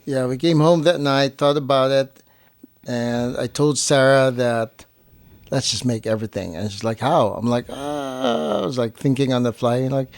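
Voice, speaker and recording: A man's voice: mid-range voice, lively intonation, moderate pace, vocal fry, dry recording. Casual man chatting, dry close sound. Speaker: guest on partner's family show. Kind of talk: casual chat, anecdotal storytelling.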